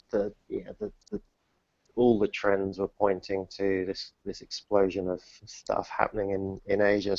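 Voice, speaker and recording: Low-voiced man, halting, on a dull call. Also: darkish tone, no echo. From New Zealand, grew up in the UK. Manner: hesitant, many restarts and fillers, self-deprecating. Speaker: guest entrepreneur. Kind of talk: casual interview answers. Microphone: remote call, dull lo-fi and dry. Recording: dull dry online call audio.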